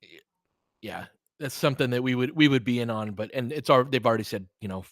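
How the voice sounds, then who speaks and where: A man's voice: mid-range voice, fast, dry recording, clean studio sound. An American man doing casual, conversational live basketball commentary while chatting with viewers. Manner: conversational, reacting to chat comments. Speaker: play-by-play streamer and analyst.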